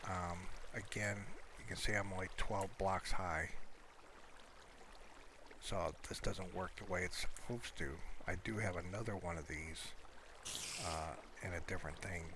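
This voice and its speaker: Really deep voiced guy chatting thru his game, laid back n rambly, noisy home recording w watery sounds behind. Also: fairly even intonation, measured pace. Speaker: gamer showing his Minecraft world. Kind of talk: casual unscripted gameplay commentary.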